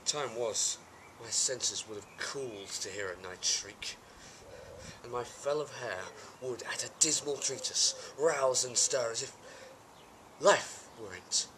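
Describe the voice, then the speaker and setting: A man's voice: medium pitch, slow, rough recording. A man playing a brooding, war-weary tyrant king in an intense, theatrical Shakespearean tragic monologue. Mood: grim, haunted. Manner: theatrical, intense, shifting between hushed and outbursts. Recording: amateur home video with background noise. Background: birds and ambient noise.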